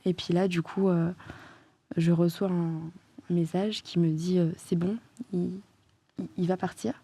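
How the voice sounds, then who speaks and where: A woman's voice: fairly low pitch, steady tone, a little slow, warm tone, slightly rough. A French woman talking as a guest in a casual chat, earnest and emphatic as she tells what happened to her. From France.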